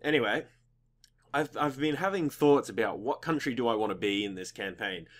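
A young man with a slightly croaky voice giving casual, rambling gaming commentary as a video host, informal and mildly sweary. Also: medium pitch, expressive, moderate pace.

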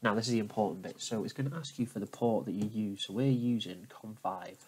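A man talking viewers through a software tutorial step by step, in an informal, explanatory manner. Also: fairly low pitch, brisk, a little hiss or hum. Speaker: tutorial host. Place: indoors at a computer, voice over screen capture.

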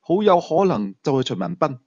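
A man's voice: a little high, big pitch swings, brisk, vocal fry, a little mellow, no room sound, muffled recording, heavily acted. A man with a Hong Kong Cantonese accent voicing a stern, stubborn police commander in an audiobook, earnest and argumentative. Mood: insistent. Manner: earnest, insistent.

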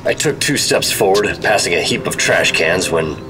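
A man's voice: sits low, lively intonation, moderate pace. An actor playing a tough, urgent 1950s government agent, narrating his own adventure in an old-time radio drama style. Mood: tense. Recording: loud music behind, slightly distant. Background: loud dramatic music.

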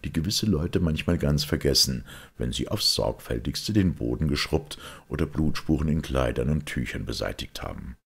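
A man narrating a literary story for an audiobook in a deliberate, dramatic storytelling manner. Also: rumbling low, animated, gravelly, fairly bright tone, breathy edge.

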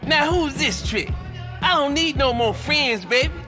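An actor playing a boastful, fast-talking pimp in a video game, with a showy, slangy, melodramatic manner. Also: male voice, very high voice, animated, music in the background. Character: flamboyant, boastful, fast-talking male pimp and club boss. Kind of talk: video game cutscene acting.

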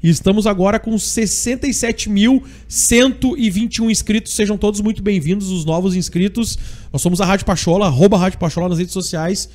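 This is a man with a high-pitched voice and a gaúcho accent from southern Brazil. He hosts a live radio show casually and with plenty of slang, speaking into a studio microphone. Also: fairly quick, a little mellow. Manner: informal, slangy. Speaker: radio sports host. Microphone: studio microphone on a boom arm.

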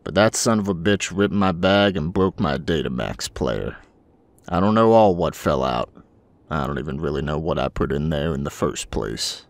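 A man's voice: rumbling low, moderate pace, no room sound. An actor playing a scruffy, foul-mouthed space adventurer, rambling and sardonic as he narrates a sci-fi audio drama. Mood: sardonic, rueful.

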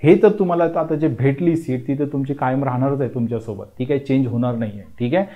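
A man's voice: medium pitch, quick pace, narrow, tinny audio. A man in his thirties explains admission steps at a whiteboard in a classroom lecture. He talks emphatically and reassuringly, keeps checking in with "okay," and switches between Marathi and English. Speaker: admissions counsellor teaching at a whiteboard. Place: indoor classroom in front of a whiteboard. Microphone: lapel mic.

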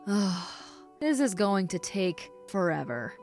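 A woman's voice: medium pitch, big pitch swings, slow pace, darkish tone, faint music behind. Earnest young female detective, acted by a woman. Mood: thoughtful. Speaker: voice actor in a fan animated film. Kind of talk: scripted amateur dramatic dialogue.

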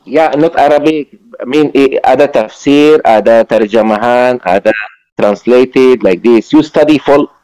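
Slow-spoken man, non-native Indonesian speaker. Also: mid-range voice, dark tone, clean tone, rough recording. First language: not Indonesian, still learning it. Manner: halting, mixes broken Indonesian with English. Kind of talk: informal religious debate on video call. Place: online video call.

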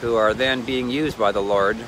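A middle-aged man rambling cheerfully into his phone at arm's length, drifting from one thought to the next. He sounds cold, standing outdoors by the water. Waves and gusts of wind are clearly heard behind his mid-pitched voice. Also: fairly even intonation, moderate pace. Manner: chatty, wandering, self-mocking. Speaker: vlogger and ministry leader. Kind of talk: casual rambling selfie vlog. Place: outdoors on a windy lakeshore with waves. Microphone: phone held at arm's length. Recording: wind and surf noise on phone audio.

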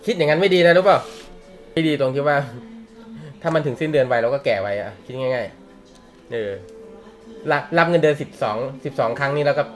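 A man's voice: a little high, moderate pace, long pauses, soft background music. A young man teaching a manga class in a casual, joking way, laughing often and using slang. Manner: chatty, laughs often, uses slang and swears. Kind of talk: casual live class talk.

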